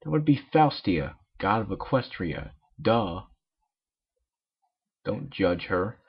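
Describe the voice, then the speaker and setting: A man's voice: fairly low pitch, expressive, slow, creaky, husky. A man reading fan fiction aloud in an informal, irreverent way with joking asides, on a muffled home recording.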